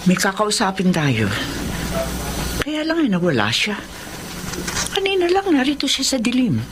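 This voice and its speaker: A woman's voice: fairly high pitch, huge pitch swings, moderate pace, noisy background. Dramatic, ominous Filipino mother. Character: grave, knowing mother sensing ghosts. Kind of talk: Philippine radio horror drama acting.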